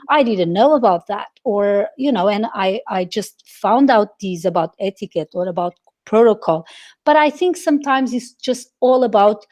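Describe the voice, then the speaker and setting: A woman's voice: fairly high pitch, darkish tone. Portuguese-born woman chatting animatedly. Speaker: etiquette consultant. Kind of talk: casual video call chat. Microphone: video call.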